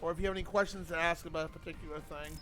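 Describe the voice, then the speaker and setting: High-voiced man streaming casually. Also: fairly even intonation, rapid-fire. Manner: informal, rambling, profane. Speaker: live streamer. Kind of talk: casual chat while gaming. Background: video game music.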